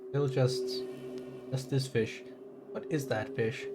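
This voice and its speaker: A man's voice: medium pitch, fairly even intonation, slow pace, loud music behind the voice. A man streaming a game, chatting casually and rambling, easily surprised by what he sees. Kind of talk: casual live commentary.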